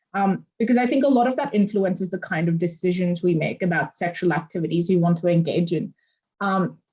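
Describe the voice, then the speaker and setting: A woman's voice: mid-range voice, darkish tone, dull audio. A woman moderating a panel discussion, summarizing and encouraging the speakers and handing over questions, with many ums.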